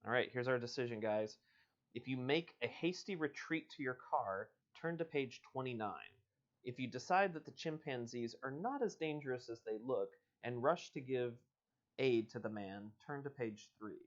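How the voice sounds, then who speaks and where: A man's voice: mid-range voice, normal speed. Lo-fi recording of a man reading a storybook. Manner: informal, bantering with co-host and viewers. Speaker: host reading an interactive adventure book aloud. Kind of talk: storybook read-aloud mixed with casual livestream chat. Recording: dull lo-fi livestream audio.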